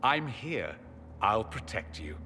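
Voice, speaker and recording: A man's voice: on the low side, big pitch swings, slow pace, sharp tone, slight background noise, heavily acted. A man playing a flamboyant, self-assured mage in a video game, with an arch, sardonic, theatrical delivery. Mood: wry, explanatory. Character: witty, flamboyant, self-assured male mage from a decadent empire. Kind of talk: video game dialogue acting.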